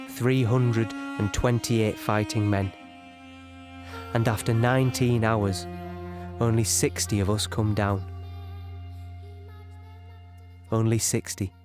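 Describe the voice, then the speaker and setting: A man playing a weary young infantryman, recalling battle in a halting, conversational audio-play monologue. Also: on the low side, darkish tone. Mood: haunted, sombre.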